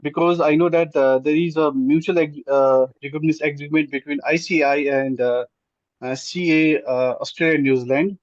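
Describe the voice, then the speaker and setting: A man's voice: a little high, steady tone, moderate pace, no room sound. A middle-aged man from Nepal asking a question in a hesitant, deferential way over a dull online call. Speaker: webinar attendee asking a question.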